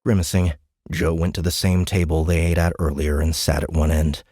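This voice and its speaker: A man's voice: a bass voice, little pitch movement, normal speed, dark tone, no room sound, clean studio sound. A man narrating a science fiction audiobook in a scripted, dramatic storytelling style.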